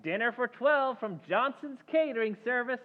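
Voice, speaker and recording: A man's voice: extremely high pitch, animated, moderate pace. An actor playing a laconic, cheeky delivery boy in an old-time radio comedy, with a casual, folksy manner. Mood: matter-of-fact. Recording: muffled phone-like sound, long hall reverb.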